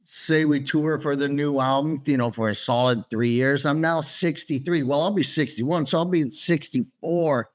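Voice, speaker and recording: A man's voice: fairly high pitch, moderate pace, few pauses. A man in his sixties, tired, talking bluntly and swearing freely in a casual interview chat over a video call. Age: 60s. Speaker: guest guitarist.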